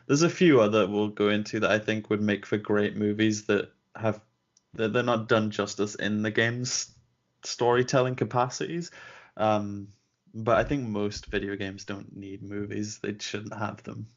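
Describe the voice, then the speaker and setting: A man's voice: lowish voice, fairly even intonation, measured pace, highs cut off. A man co-hosting a casual podcast chat, blunt and skeptical, swearing casually. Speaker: podcast co-host.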